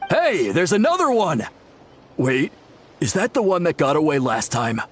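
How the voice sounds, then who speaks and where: A man's voice: a little high, big pitch swings, measured pace, a little hiss or hum. A man voicing an alert, eager bandit henchman in an anime game.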